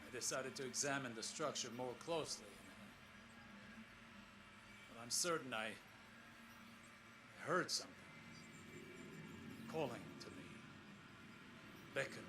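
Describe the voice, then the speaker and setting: A man performs a solemn, scholarly space explorer dictating a log for a video game. He is weary and troubled, choosing formal words slowly and gravely, with brooding pauses. Clear background noise surrounds his voice. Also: mid-range voice, close to an everyday voice. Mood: uneasy, haunted. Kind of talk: scripted audio log in a science fiction game.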